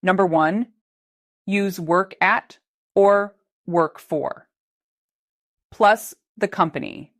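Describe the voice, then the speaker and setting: A woman teaching English in a slow, unhurried voice, with each word spelled out clearly for learners. She sits on the lower side of pitch for a woman and sounds calm and steady. The voice-over is dry and close, with almost no room sound. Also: no echo. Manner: slow, careful, clearly articulated teaching delivery. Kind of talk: scripted English lesson narration.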